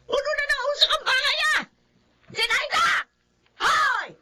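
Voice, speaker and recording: An actress playing an angry older woman who scolds her neighbor in a shrill radio-drama voice. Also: measured pace, sharp tone, raised voice, far from the mic, slight background noise, full character voice. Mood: furious.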